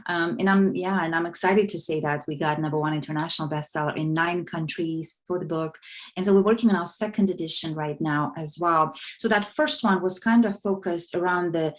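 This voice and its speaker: A woman answers interview questions in a fairly low voice. She is earnest and enthusiastic, and her answers carry a lot of fillers. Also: a little fast. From Kazakhstan. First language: Russian. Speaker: guest, organization founder.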